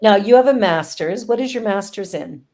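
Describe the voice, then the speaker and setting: A middle-aged woman hosting a casual interview chat, effusive and quick to laugh. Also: mid-range voice, expressive, dark tone. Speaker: host and spiritual director.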